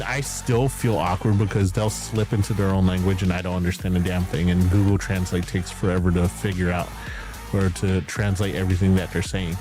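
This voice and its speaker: A man streaming as a VTuber, chatting casually and candidly, swearing freely and filling pauses with "like" and "um". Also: fairly low pitch. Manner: rambling, candid, swears casually, frequent fillers and laughs. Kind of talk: casual stream chat answering viewer questions. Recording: music playing behind.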